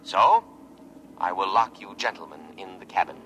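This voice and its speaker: Man playing an officious low-voiced robot lifeboat, old radio. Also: moderate pace, over music, lightly acted, poor audio. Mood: grave, dutiful. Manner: formal, precise, patriotic, unyielding. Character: sentient military lifeboat machine, officious, dutiful, solicitous. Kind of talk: 1950s radio drama acting. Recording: vintage radio recording.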